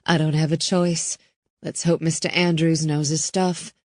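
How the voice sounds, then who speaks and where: Woman reading as a cop mom forcing herself calm, lowish voice, urgent. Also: a little slow, no room sound, studio quality. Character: steady woman cop, protective mother.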